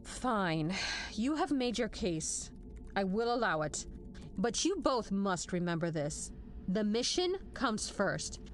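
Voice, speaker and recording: An actress playing a stern, exacting major general in an audio drama, firm and emphatic. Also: female voice, medium pitch, very animated, takes it slowly, slight background noise. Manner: firm, clipped orders, emphatic.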